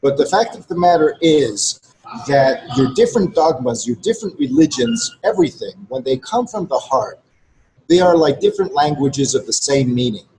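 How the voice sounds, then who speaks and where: A man's voice: medium pitch, sing-song, moderate pace, dark tone, poor audio. A man whose first language is Greek, a regular co-host, speaking to listeners in a blunt, earnest, lecturing way. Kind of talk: casual conversation to listeners.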